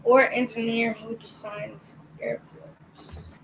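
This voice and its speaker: A young reader works through a picture book aloud, sounding each line out carefully and stumbling now and then. The voice sits a little high, and the pace is very slow and deliberate. Faint background noise runs underneath. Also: female voice, flat delivery. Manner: careful reading, occasional stumbles on words.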